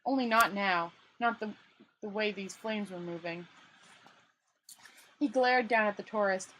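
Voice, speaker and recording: A woman's voice: mid-range voice, unhurried, a bit bright, slight background noise, low-quality recording. A woman reading a novel aloud in an informal amateur way, stumbling over words and adding casual asides.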